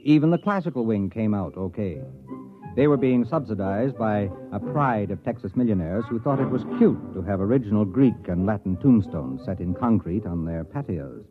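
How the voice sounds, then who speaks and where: A man's voice: lowish voice, lively intonation, normal speed, natural voice. An American actor playing a middle-aged, eager-to-please university president, a man with a dry, wry, deferential manner in a 1950s radio comedy drama. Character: anxious, eager-to-please male university president narrating wryly. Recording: tinny old radio recording, faint music.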